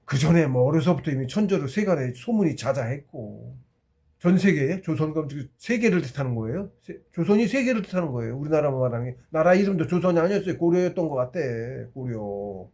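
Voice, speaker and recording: A man in his sixties giving an informal, rambling talk, halting and circling back as he tells anecdotes. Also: on the high side, expressive, warm tone. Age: 60s. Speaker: spiritual practitioner giving a talk.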